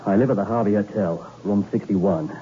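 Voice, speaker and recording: An actor playing a dogged, jittery investigator in a 1960s radio thriller, with terse, probing, halting speech. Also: male voice, lowish voice, natural voice. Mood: probing, stern. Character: dogged, jittery hard-boiled male investigator named Logan. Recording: dull, hissy old radio recording.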